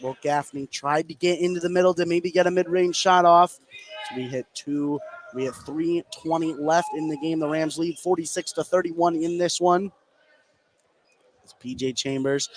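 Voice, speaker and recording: A man's voice: a little high, fairly even intonation, normal speed. An American man doing live radio play-by-play of a basketball game, following the action in running detail. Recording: clear gym noise behind commentary. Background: basketball game ambience.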